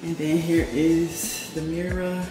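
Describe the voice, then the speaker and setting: Woman vlogger, low relaxed voice, hyped "boom, period" delivery, empty echoing apartment with music under it. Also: slow pace. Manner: chatty, upbeat, says "boom" and "period" a lot. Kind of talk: casual apartment tour vlog. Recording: echoey empty room with music behind. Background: background music.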